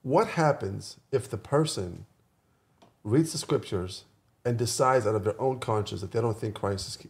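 A man's voice: on the low side, normal speed, vocal fry, a little mellow. A man guesting on a podcast, chatting informally through anecdotes, restating phrases and quoting people's dialogue. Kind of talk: casual chat, animated anecdotes.

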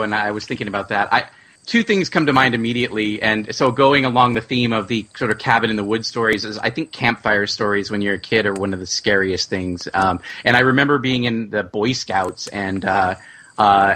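A man talking casually as a panelist in a musing, halting way. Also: mid-range voice, bright, forceful tone, rough recording. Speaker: horror audio fiction writer and panelist. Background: music.

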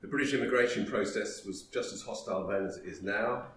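Deep-voiced man giving a history talk. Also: quick pace, fairly bright tone, slight background noise. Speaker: lecturer. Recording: roomy echo, distant mic.

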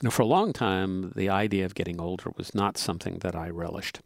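A man in his seventies talking in a measured, hesitant way with many fillers, as a podcast co-host in casual conversation. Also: low-pitched, gravelly, no echo, clean studio sound. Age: 70s.

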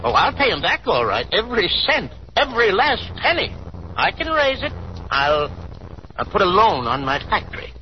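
Agitated middle-aged father, very high swooping voice, stammering, folksy, defiant, 1930s radio drama. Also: huge pitch swings, moderate pace, slight background noise. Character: overwrought aging father, ruined small factory owner, defiant. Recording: muffled 1930s radio broadcast recording.

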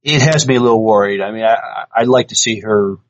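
Low male co-host, muffled lo-fi audio. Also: fast. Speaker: podcast co-host. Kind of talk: casual news reading and chat. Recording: dull, lo-fi podcast audio.

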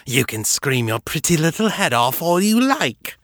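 A man's voice: fairly high pitch, big pitch swings, normal speed, fairly bright tone, dry recording, clean studio sound, voice pushed up. A man voicing a gleeful, sadistic male vampire who taunts in a sing-song, cooing way. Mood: mocking.

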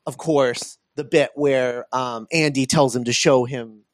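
A man co-hosting a film podcast in casual chat, thinking aloud in a halting way. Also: mid-range voice, fairly even intonation, no echo. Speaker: podcast co-host.

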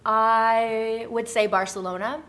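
A woman's voice: fairly high pitch, fairly even intonation, takes it slowly, a bit bright. A woman guest talking casually and playfully in an interview, with an informal, enthusiastic manner.

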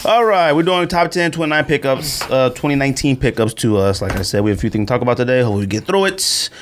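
Animated man with a lively, swinging pitch. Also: quick pace, slight background noise. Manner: animated, opinionated, slangy. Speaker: podcast host. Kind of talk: casual sneaker podcast chat.

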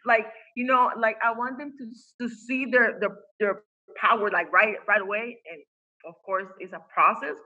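A woman's voice: a little high, steady tone, moderate pace, sharp tone, breathy edge, muffled recording. A woman whose first language is Spanish, a coach speaking earnestly as a podcast guest, with many fillers and restarts. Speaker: guest, faith-based women's coach. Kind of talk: podcast interview. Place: home in Costa Rica.

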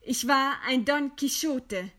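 A proud, defiant woman intellectual in a radio play. Her voice is high and forceful, and she declaims slowly, with eloquent scorn for her executioner. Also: heavily acted. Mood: bitter, mocking. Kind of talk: theatrical radio play acting.

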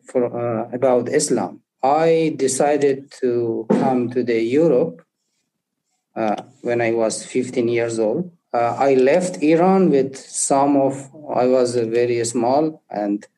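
A young man from Afghanistan, not an English speaker, telling his life story haltingly and searching for words. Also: medium pitch, dark tone, rough recording. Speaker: interview guest. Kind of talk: personal testimony.

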